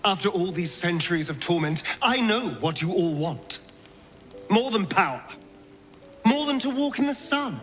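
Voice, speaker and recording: Bright, theatrical, vengeful vampire man. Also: sits high, lively intonation, moderate pace, faint music behind. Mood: grandiose, vengeful. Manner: dramatic, grandiose, persuasive. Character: vengeful, theatrical vampire spawn man plotting to usurp his master. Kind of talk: video game cutscene acting.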